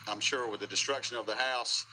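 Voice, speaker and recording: A middle-aged American man giving a casual eyewitness interview outdoors, speaking haltingly and repeating words. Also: mid-range voice, sharp tone, low-quality recording. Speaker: local resident and business owner being interviewed. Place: outdoors at a storm damage site. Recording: outdoor interview with faint noise.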